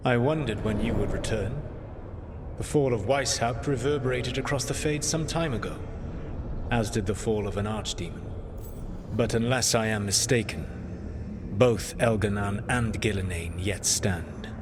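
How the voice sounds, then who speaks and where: A man playing an ancient rebel leader in a fantasy game, speaking in a formal, measured, solemn manner. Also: fairly low pitch, close to an everyday voice. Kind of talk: scripted fantasy game dialogue. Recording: long reverb, loud background noise.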